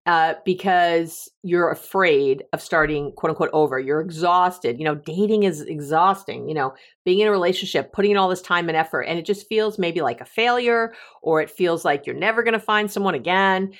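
A woman's voice: on the low side, normal speed, few pauses. A middle-aged woman hosting a relationship advice podcast, talking casually on her own, joking and laughing at herself, with frequent restarts. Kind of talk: casual solo podcast talk.

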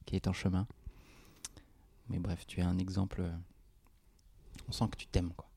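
A man hosting a casual interview, soft-spoken and playfully teasing his guest. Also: sits low, little pitch movement, moderate pace, vocal fry, husky, no room sound, studio quality. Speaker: host.

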